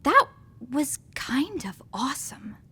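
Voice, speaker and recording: A woman's voice: sits high, sing-song, takes it slowly, pushed and bright, exaggerated voice. A woman playing a laid-back, wry young crewmate on a space station, speaking casually in a sci-fi audio drama. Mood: quiet, thoughtful. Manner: casual, understated.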